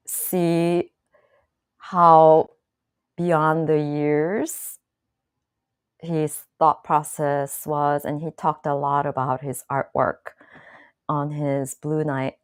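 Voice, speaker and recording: A woman hosting her own channel, chatting casually to viewers in a slow, thoughtful way with many fillers and restarts. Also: deep voice, a little mellow, clear, resonant tone, no echo, studio quality. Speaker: channel host. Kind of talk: casual live-stream talk to viewers.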